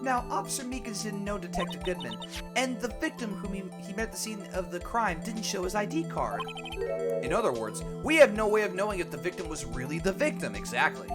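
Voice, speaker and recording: A hoarse woman voicing an earnest young male defense lawyer in a dry, questioning tone, close to her own voice. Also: medium pitch, very animated, brisk, loud music behind the voice, close to an everyday voice. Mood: reasoning.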